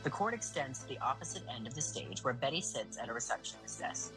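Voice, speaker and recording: Very low-voiced, animated female narrator. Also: fast, music in the background, poor audio. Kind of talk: reading stage directions for audio drama.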